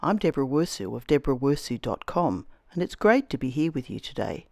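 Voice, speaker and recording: Croaky woman, lively pitch. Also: fairly quick, no echo, clean studio sound. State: croaky from laryngitis. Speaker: textile artist host. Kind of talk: scripted demonstration narration.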